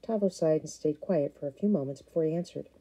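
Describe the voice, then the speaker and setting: Woman audiobook narrator, measured reading, dark mellow tone, husky, lowish voice. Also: rough recording. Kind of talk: first-person novel reading.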